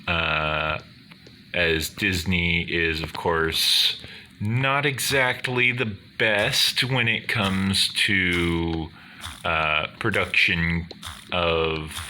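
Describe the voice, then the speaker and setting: Deep, booming bass-voiced man, slow and crisp. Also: pushed and bright, a little hiss or hum. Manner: deliberate, wordy, formal phrasing. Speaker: gaming video host. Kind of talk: casual rambling commentary over gameplay.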